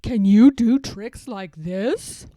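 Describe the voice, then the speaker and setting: A woman voicing a proud but easily worried male toad in a children's storybook, with loud, dramatic cries. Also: mid-range voice, takes it slowly, no echo, close to an everyday voice. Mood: proud, boastful. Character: boastful male toad turning anxious and lonely.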